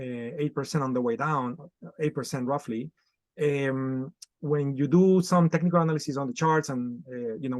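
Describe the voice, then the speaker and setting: A man's voice: medium pitch, no echo. A man on a video call, explaining markets as a guest in a podcast interview, with many fillers and restarts. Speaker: guest trader.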